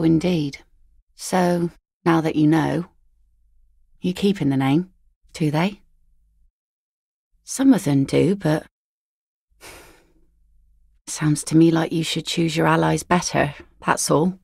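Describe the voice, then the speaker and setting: A woman voicing an easygoing, philosophical seafaring mother in a video game, with a wry, gently teasing, folksy manner. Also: deep voice, slow pace, creaky, long pauses, slightly rough, no room sound, studio quality, heavily acted. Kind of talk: naturalistic video game dialogue acting.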